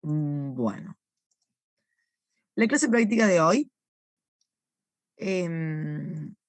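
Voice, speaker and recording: A young woman with a hoarse voice from a recent sore throat, recording an online university class and patiently checking in with her students. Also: low-pitched, dry recording. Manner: patient, repeats points and checks in with "¿sí?". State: hoarse with a lingering cough. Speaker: university teaching assistant.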